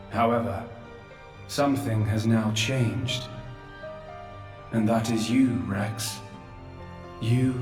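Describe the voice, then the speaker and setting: An actor playing an ancient, remorseful creator figure who speaks slowly and gravely. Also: male voice, fairly low pitch. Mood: resigned. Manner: slow, grave, measured. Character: ancient godlike male creator, solemn and remorseful. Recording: echoey far-off voice with hall reverb and music.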